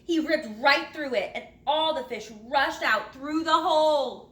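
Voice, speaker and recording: Lady teacher doing a read-aloud for kids, highish bright voice, really expressive, bit of room echo. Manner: expressive, dramatic storytelling with drawn-out phrases. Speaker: teacher reading to pupils. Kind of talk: animated children's storybook read-aloud. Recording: roomy echo, light background noise.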